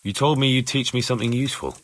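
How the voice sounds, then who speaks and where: A man's voice: mid-range voice, quick pace, lightly acted. An actor playing an earnest, plain-spoken young peasant man-at-arms in a video game, explaining himself respectfully. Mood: reassuring. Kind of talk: video game cutscene acting.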